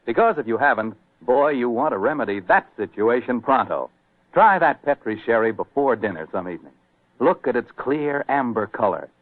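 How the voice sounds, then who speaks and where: A man's voice: medium pitch, expressive, normal speed, no room sound. A man announcing in 1940s radio style, with folksy, emphatic salesmanship. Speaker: announcer and sponsor pitchman. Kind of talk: 1940s radio advertising and narration. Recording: tinny, dry old radio recording.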